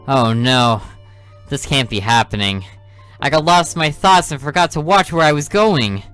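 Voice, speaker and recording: A man's voice: mid-range voice, lively intonation, moderate pace, fairly bright tone, clean tone, soft background music, close to an everyday voice. A man reading the thoughts and lines of a bored teenage girl who sneaks out at night. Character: restless, sneaky teenage girl, detective's daughter.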